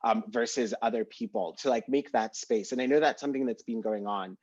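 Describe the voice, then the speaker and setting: Man from Kenya as a panel guest, husky voice, earnest and reflective, on a dry dull video call. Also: medium pitch, fairly quick, darkish tone, no echo. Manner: earnest, many "like" fillers. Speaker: alumni panelist. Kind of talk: candid reflective panel answer.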